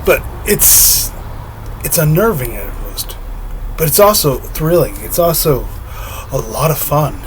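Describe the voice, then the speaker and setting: A man talking slowly and dreamily to the camera, giggling softly and trailing off, his voice slightly hoarse. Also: fairly high pitch, big pitch swings, a little hiss or hum, rough recording. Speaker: spiritual vlogger. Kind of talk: reflective solo monologue.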